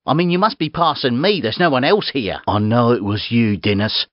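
A man's voice: moderate pace, no echo, close to an everyday voice. An actor voicing a cocky British street racer with gangster swagger in a video game, taunting, sardonic and mock-polite in his menace. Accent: British English. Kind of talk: video game character voice clips. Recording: muffled low-fidelity game audio.

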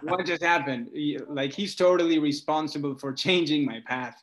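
A man's voice: fairly high pitch, normal speed, a bit bright. A man from Argentina, a musician being interviewed, chatting informally and telling his story with plenty of conversational asides. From Argentina, Buenos Aires. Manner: informal, talks in stories, often says "like" and "you know". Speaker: guest musician, singer-songwriter. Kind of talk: casual interview chat.

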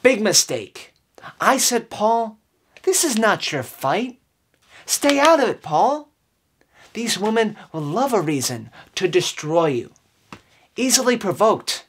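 A man in his twenties giving an earnest, overwrought dramatic reading, with emphatic phrasing and deliberate pauses. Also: sits high, big pitch swings, a bit bright, slight background noise. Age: 20s. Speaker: comedic performer. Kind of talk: theatrical dramatic reading of song lyrics. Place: indoors, small room with curtain backdrop.

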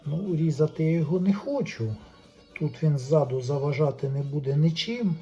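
A man gives casual step-by-step repair commentary as a hobbyist, thinking aloud in an unhurried, tentative way. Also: a little high, dark tone, muffled recording, faint music behind. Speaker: hobbyist repairer presenting a DIY video.

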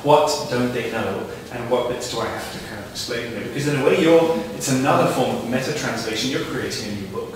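A man's voice: medium pitch, quick pace, few pauses. A man moderating a panel, asking questions in a musing, playful way. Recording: echoey room from far off, noisy.

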